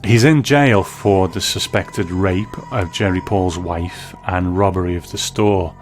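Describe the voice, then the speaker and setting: A man's voice: low voice, measured pace. A man giving scripted review commentary as a narrator. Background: music bed.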